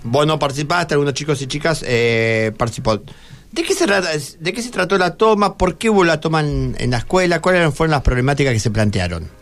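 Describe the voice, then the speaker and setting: A man's voice: on the high side, lively intonation, fairly quick, faint music behind. A man with an Argentine accent from Buenos Aires hosting a live radio interview, conversational and prompting with questions. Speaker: radio host.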